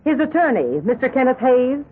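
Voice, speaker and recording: An actress playing a formal, methodical female prosecutor with a husky voice, questioning precisely in a 1970s radio courtroom drama. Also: high-pitched, sing-song, brisk, heavily acted. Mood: formal, probing. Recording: thin, phone-like old radio recording.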